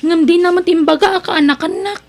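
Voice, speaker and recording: A proud young woman in an audio drama, with a high voice and an Ilocano Filipino accent. She sounds whiny and haughty, a reluctant worker who thinks herself above others. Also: steady tone, fairly quick. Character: proud young niece Mira, reluctant new restaurant dishwasher, complaining daughter.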